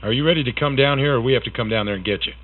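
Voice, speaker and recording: A man's voice: medium pitch, rapid-fire, natural voice. A man playing a stern, accusing loss prevention manager in a prank phone call. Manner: accusing, intimidating. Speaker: prank caller.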